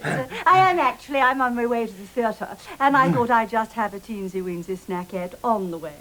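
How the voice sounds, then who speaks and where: A woman's voice: fairly high pitch, animated, normal speed, a bit bright, poor audio. A woman playing a genteel, dotty lady diner in a children's comedy, affected, twee and condescending. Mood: polite. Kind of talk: children's comedy show acting.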